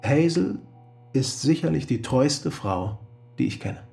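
A man's voice: mid-range voice, expressive, takes it slowly, vocal fry, darkish tone, faint music behind. An actor playing an earnest, persuasive male friend in a radio drama.

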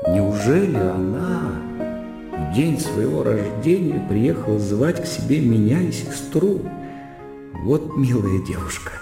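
Актёр играет задумчивого, сдержанного влюблённого господина, который размышляет вслух во внутреннем монологе радиоспектакля. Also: male voice, medium pitch, big pitch swings, creaky, heavily acted. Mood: tender surprise. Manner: musing, deliberate. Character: pensive, self-questioning gentleman, smitten yet reserved. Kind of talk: radio drama inner monologue. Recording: long reverb, music underneath.

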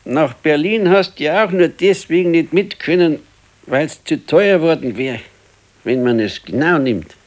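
An actor as a grumbling old Bavarian husband. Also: male voice, fairly high pitch, measured pace, lightly acted. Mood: grumbling, defensive. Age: elderly. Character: grumbling, defensive old working-class pensioner husband. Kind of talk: naturalistic radio play dialogue.

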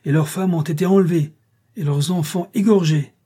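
Man doing a commanding chieftain, imperious oratory, raised pitch, husky. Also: fairly even intonation, normal speed, warm tone. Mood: rousing. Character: commanding chieftain addressing a crowd.

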